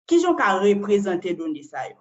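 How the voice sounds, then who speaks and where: A woman's voice: mid-range voice, sing-song, fast talker, dull audio. A Haitian woman and data analyst giving an online presentation, explaining step by step and often ending phrases with a questioning tag.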